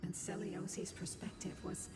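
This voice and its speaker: Ghostly old spirit lady, whispery n solemn, big reverb, music behind, game dialogue. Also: normal speed. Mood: solemn, wistful. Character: ancient gentle female spirit guardian watching over humanity. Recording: long echoing reverb, distant, music behind.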